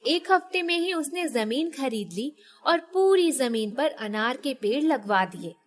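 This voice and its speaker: A woman's voice: high-pitched, animated, a little slow. A woman reading a scripted story as its narrator.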